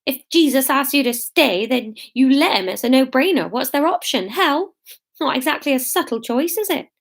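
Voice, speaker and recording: A woman's voice: high voice, expressive, moderate pace, vocal fry, pitched above the performer's own voice, exaggerated voice. A woman voicing a scoffing, sarcastic village man who talks in pointed rhetorical questions in a comic story. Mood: scornful.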